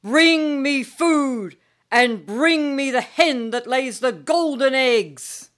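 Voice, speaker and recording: A huge, greedy giant, as a woman puts him on for a storybook, growling every word out in a loud, drawn-out boom. He chants his threats at a crawl, pitched a little above the reader's own voice, and sounds menacing throughout. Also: expressive, full character voice. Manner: slow, booming, growling chant.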